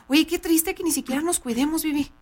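A loud, excitable woman with a shrill, squeaky voice and a northern Mexican accent, chatting casually as a radio co-host. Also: brisk.

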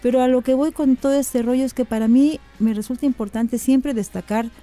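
A woman hosts an interview, chatty and effusive as she welcomes guests and sends greetings. Her voice is mellow and relaxed rather than bright. Soft music plays faintly behind her. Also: high voice, fairly quick. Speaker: host. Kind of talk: interviewing guests on a farming show.

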